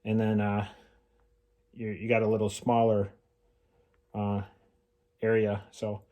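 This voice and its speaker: A man explaining homemade tools in a casual, unscripted how-to style, informal and halting as he thinks aloud. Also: lowish voice, monotone, muffled recording. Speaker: hobbyist mechanic presenting a homemade tool demonstration.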